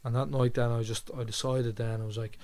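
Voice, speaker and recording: He is an Irish man with a Dublin accent and an average-pitched voice. He tells his story as casual chat, stumbling back over words and repeating them. Swearing comes freely as he goes. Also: level tone, a little fast, darkish tone. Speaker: podcast speaker sharing his own story.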